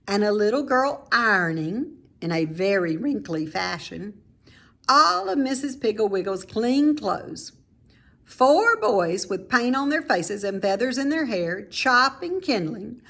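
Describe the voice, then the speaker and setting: A woman reads a children's story aloud in a medium-pitched voice. Her pace is unhurried. She is expressive, stretching key words for dramatic emphasis. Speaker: storybook reader.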